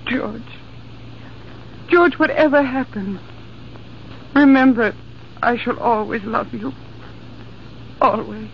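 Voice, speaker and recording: Noisy vintage radio drama, loving sweetheart, unhurried, emotional, pleading. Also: female voice, on the high side, creaky. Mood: tearful, loving. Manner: tender, emotional, theatrical. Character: devoted, pleading young sweetheart of an officer. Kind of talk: old-time radio melodrama acting. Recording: dull, noisy 1940s-50s radio recording.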